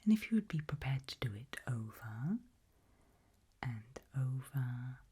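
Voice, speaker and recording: A British woman performs ASMR roleplay in an extremely breathy whisper. Her voice sits low, and she speaks slowly and gently, placing each phrase with care. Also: big pitch swings.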